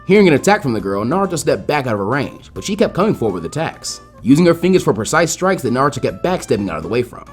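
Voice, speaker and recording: A man narrates a written story in an expressive style. He moves along at a brisk pace with a lot of rise and fall in his mid-range voice. Light music plays behind him. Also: mid-range voice. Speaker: fan fiction narrator.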